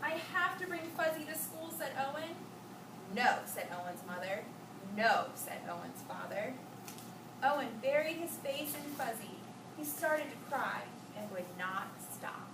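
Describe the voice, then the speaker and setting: A woman reading a storybook aloud to children in an expressive way, pausing to ask questions and echo their answers. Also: high-pitched. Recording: echoey, noisy room, distant mic.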